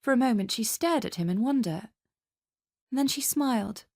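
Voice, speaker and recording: A woman's voice: fairly high pitch, expressive, normal speed, a little mellow. A woman with a British accent, narrating a literary story for radio in a dramatic style. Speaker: story reader.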